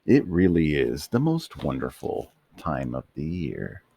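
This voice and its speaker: A man voicing a gentle, loving female dog in a bedtime storybook reading, lifting his very low voice higher for her. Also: sing-song, a little slow, vocal fry, slight background noise, full character voice. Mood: tender.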